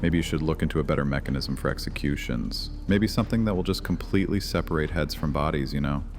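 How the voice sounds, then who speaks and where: An actor playing an ancient vampire high priest in an audio horror drama, grandiose, sardonic and taunting. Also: male voice, fast, background noise. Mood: flippant. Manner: theatrical, sardonic, taunting, with casual asides. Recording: long cavernous reverb.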